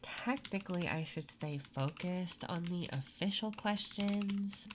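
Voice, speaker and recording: A soft-spoken woman performing an intimate ASMR roleplay as an interviewer, talking gently and a little flirtatiously with pauses between phrases. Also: on the low side. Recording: clear background noise. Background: computer keyboard typing and clicks.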